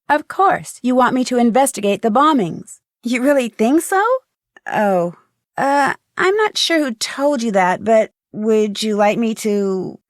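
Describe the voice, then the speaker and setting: Polite, inquisitive young female sleuth for a mystery game: higher voice, clear diction, close dry studio sound. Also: no room sound. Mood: polite, curious, then hesitant. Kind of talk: scripted video game dialogue.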